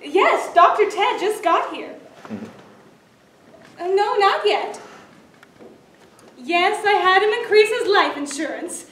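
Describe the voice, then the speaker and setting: An echoey stage recording, heard from far off, of a sitcom housewife played by a young woman. She is anxious and loving, with a very high, emotive delivery. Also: expressive, takes it slowly, long pauses, a bit bright, slight background noise, exaggerated voice. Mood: chatty, polite. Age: young adult. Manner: theatrical, emotive. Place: theater stage. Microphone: far camera. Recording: echoey theater stage, distant camera microphone.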